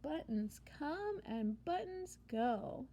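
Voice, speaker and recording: A woman preschool teacher reading a picture book aloud to young children in simple, sing-song phrases, pausing after questions. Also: high-pitched, big pitch swings, mellow, a little hiss or hum. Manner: simple short phrases with deliberate pauses for answers.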